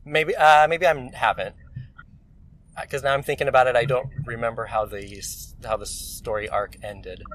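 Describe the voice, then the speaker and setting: A man's voice: mid-range voice, steady tone, normal speed, fairly bright tone. A man from California with an American accent, chatting casually and hesitantly, often trailing off. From California, United States. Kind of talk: casual chat. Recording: noticeable ambient background noise.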